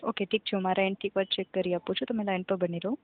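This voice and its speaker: A woman's voice: mid-range voice, steady tone, rapid-fire, noisy background. A woman speaking as a customer care agent, formal and courteous, often checking that the caller agrees. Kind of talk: scripted, polite customer service phone talk. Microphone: telephone call. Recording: tinny phone line.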